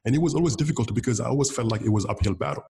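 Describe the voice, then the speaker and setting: A man's voice: medium pitch, rapid-fire, vocal fry, dark tone. A man from Senegal whose first language is Wolof, a guest on an interview, talking casually with many fillers and restarts. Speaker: interview guest, bitcoin developer.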